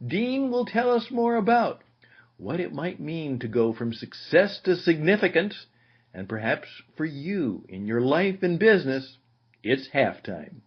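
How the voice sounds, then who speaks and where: A man's voice: a little high, very animated, measured pace, breathy edge. A man hosting an interview show, chatty and self-deprecating as he introduces his guest. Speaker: podcast host. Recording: muffled lo-fi sound.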